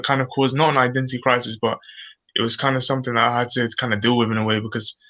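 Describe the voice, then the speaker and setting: A man's voice: medium pitch, pushed and bright. A British man giving personal views in a casual discussion, tentative and often repeating his words as he works out what to say. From Britain. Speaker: guest on a live online discussion.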